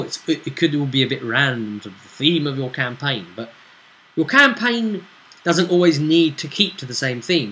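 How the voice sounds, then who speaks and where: A man's voice: on the high side, bright, forceful tone, slight background noise, poor audio. A man casually recapping a tabletop role-play session as game master, rambling as he explains it.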